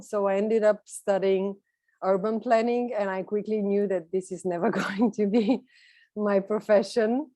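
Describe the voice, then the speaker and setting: A woman's voice: medium pitch, dark tone, no room sound. A woman, giving a chatty, enthusiastic personal talk as a guest speaker on an online video call. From Bulgaria. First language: Bulgarian. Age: 30s. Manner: chatty, enthusiastic, laughs lightly while talking.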